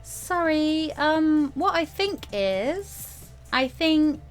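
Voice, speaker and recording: A woman acts out a flustered young student heroine from a visual novel. She sounds whiny and flippant, rambling and hesitating in a raised pitch. Music plays behind. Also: expressive, extremely slow, heavily acted. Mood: flustered, indifferent. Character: young female student mediator, flippant, flustered, easily bored.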